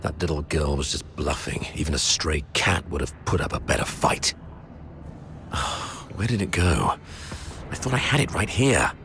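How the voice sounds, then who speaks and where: A weary wounded officer talks in an extremely deep, gravelly voice. He sounds tired and dismissive, his pitch rolling up and down, with faint game music behind. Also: big pitch swings, unhurried, a bit bright, a little hiss or hum, exaggerated voice. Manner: low, tired, sighing, dismissive.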